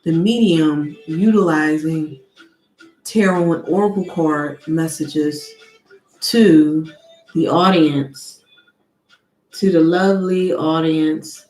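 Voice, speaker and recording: A woman with a lowish voice gives a spiritual tarot reading, speaking slowly and deliberately. She sniffles now and then from allergies. Her voice rings in an echoey room, with faint music underneath. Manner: slow, soft and deliberate, with an invocation-like cadence. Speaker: tarot card reader.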